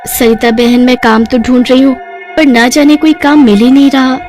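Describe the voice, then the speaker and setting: A woman's voice: high-pitched, steady tone, moderate pace, darkish tone, faint music behind, close to an everyday voice. A woman voicing a poor, anxious village mother in a cartoon story, plaintive and imploring. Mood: embarrassed.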